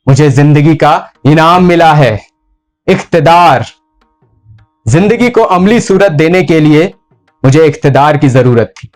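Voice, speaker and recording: A male actor playing a ruthless, tormented medieval sultan in a slow, deliberate theatrical monologue with heavy pauses. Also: a little high, darkish tone. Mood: accusing, defiant. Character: brooding, ruthless, tormented medieval sultan confessing his murders. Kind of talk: dramatic theatrical Hindustani monologue.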